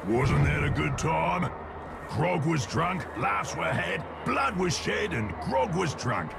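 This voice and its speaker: A man playing a big, boastful, bloodthirsty troll warrior in a video game, with a British accent and a gravelly, chatty, slangy delivery. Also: a little high, sing-song, takes it slowly, heavily acted. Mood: jovial, bloodthirsty. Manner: chatty, slangy, menacingly cheerful. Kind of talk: video game character acting. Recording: loud music behind the voice.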